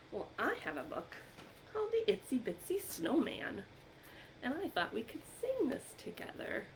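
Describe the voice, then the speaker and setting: Woman, storytime librarian, very lively intonation, encouraging, talks to young children, noticeable background noise. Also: sits high, rough recording. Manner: encouraging, asks questions and pauses for answers. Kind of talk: interactive read-aloud and singing for young children.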